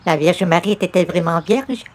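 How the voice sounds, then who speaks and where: A man's voice: sits high, brisk, lightly acted. A devout, gullible doorstep visitor from a radio comedy, acted by a man. He speaks with fussy, ceremonious politeness and breaks easily into exclamation. Faint noise sits behind the voice. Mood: eager. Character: overly polite, pious, naive male religious door-to-door visitor. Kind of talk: old-time radio comedy acting.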